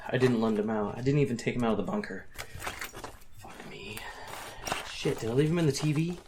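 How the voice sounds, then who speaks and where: A man's voice: medium pitch, fairly quick, noisy background, lightly acted. A man playing a crude, exasperated fighter trainer in a comic radio drama, blunt and sweary in his bewilderment. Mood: bewildered, frantic.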